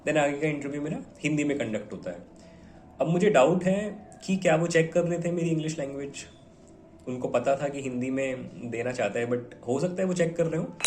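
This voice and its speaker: A young man speaks to viewers in a relaxed, conversational way, mixing Hindi with English words. His voice sits at an ordinary middle pitch. The room adds a noticeable echo, with faint background noise. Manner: conversational, mixes Hindi with English words, fillers like "theek hai". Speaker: bank officer giving exam advice. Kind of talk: casual advice talk to viewers. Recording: echoey room.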